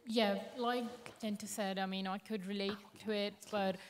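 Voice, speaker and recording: A woman speaks as a panelist at middle pitch. She answers in a measured, unhurried way. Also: fairly even intonation, long reverb tail, a little hiss or hum. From Pakistan.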